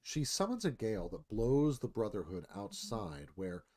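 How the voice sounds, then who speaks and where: A man's voice: fairly low pitch, very animated, normal speed, dark tone. A man narrating a screenplay, reading action and stage directions as dramatic scripted narration.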